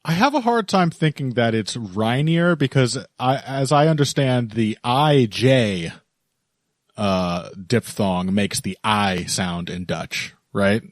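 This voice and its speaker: A man's voice: mid-range voice, lively intonation, slow pace, no echo. Dry-miked man breaking down fights. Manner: analytical, teasing, laughs easily. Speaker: podcast co-host. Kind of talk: casual fight analysis chat.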